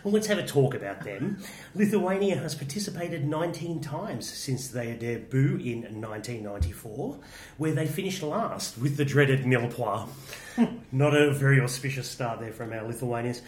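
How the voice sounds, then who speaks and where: Wry man with a lively voice, roomy echo. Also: medium pitch, normal speed. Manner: wry and teasing, reads out facts. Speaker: co-host. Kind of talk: casual chat reviewing song contest entries. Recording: roomy echo with faint noise.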